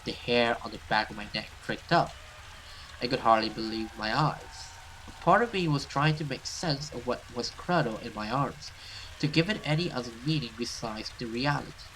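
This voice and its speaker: A man's voice: mid-range voice, animated, moderate pace, fairly bright tone, a little hiss or hum, poor audio. A man hosting a let's play, reading a horror visual novel aloud and stumbling over some words as he goes.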